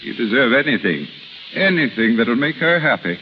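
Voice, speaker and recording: A middle-aged man acting in a 1930s radio drama as a proud, wounded husband with formal, halting speech. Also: mid-range voice, sing-song, natural voice. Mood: tender, resigned. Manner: formal, halting under emotion. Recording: noisy, sizzling 1930s radio recording.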